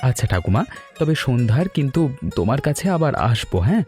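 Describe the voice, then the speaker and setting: A man's voice: medium pitch, sing-song, fairly quick, darkish tone, soft background music, natural voice. A man playing an affectionate, considerate young married son in a cartoon family story. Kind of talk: animated family story dialogue.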